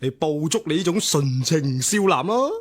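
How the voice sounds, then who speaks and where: A man's voice: a little high, animated, normal speed. An actor playing a cynical, teasing young ladies' man in a radio drama, with a Hong Kong Cantonese accent. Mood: cynical, knowing.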